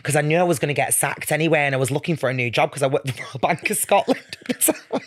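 A man's voice: a little high, rapid-fire. A man in his twenties chatting casually as a podcast guest, telling anecdotes in a jokey, self-mocking, giggly way. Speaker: podcast guest, reality TV personality.

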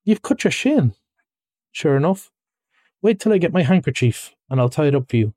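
A man performs an excitable rat from a storybook, with a high, emphatic voice. He is kindly underneath but bossy and easily exasperated, and the sound is dry and close. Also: moderate pace, dry recording. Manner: eager, emphatic, impatient.

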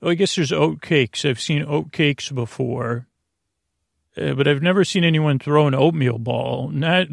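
A man hosting a sleep podcast with a creaky voice, giving a halting, meandering monologue that drifts into tangents. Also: medium pitch, no room sound, studio quality. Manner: halting, digressive, trails off into tangents. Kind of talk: rambling, meandering bedtime monologue.

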